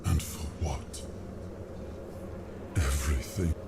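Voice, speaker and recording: Very deep-voiced, guilt-ridden fallen king. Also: creaky, very noisy, heavily acted. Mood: bitter resignation. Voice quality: very deep, heavy. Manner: slow, grave, regretful delivery. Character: weary, guilt-ridden fallen king of legend, world-worn and grave. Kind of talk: brooding dramatic monologue for a fantasy video game. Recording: music playing behind the voice.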